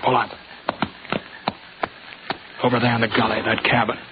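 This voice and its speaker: An actor playing a laconic, steady Old West drifter in a 1950s radio western, with a folksy, understated American delivery. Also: male voice, mid-range voice, normal speed, lightly acted. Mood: watchful. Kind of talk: 1950s radio western acting and first-person narration. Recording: noisy, muffled 1950s radio recording.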